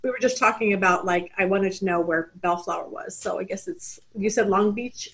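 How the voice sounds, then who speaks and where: A woman's voice: medium pitch, brisk, fairly bright tone. A woman hosting a Bible study webinar over a video call, chatty and rambling, with lots of fillers and restarts.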